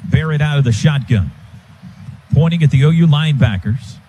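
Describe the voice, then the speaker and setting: An American man works as a radio football announcer, calling plays live. His voice sits fairly high and he talks in clipped, excitable phrases. Clear stadium noise runs behind him. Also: normal speed. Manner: clipped phrases, excited calls rising with the action. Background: stadium ambience.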